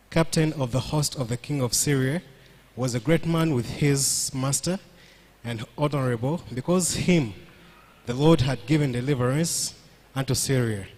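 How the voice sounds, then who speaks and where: A man reading a scripture passage aloud in a slow, measured way. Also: medium pitch, darkish tone. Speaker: scripture reader.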